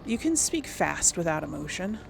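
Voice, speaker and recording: A woman's voice: on the low side, sing-song, normal speed, vocal fry, background noise. A woman giving casual gameplay commentary to viewers, rambling and thinking aloud as she goes. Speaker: let's play host.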